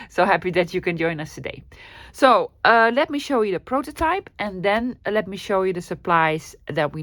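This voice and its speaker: A woman's voice: fairly low pitch, a bit bright, no room sound. A woman from the Netherlands with a Dutch accent, chatting her way through a craft tutorial with plenty of ums and restarts. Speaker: craft channel host.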